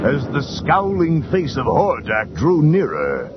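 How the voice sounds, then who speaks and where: A man's voice: a little high, wildly swooping pitch, takes it slowly, background noise. A man narrating a children's adventure storybook in a dramatic, scripted style. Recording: dull lo-fi tape with music behind.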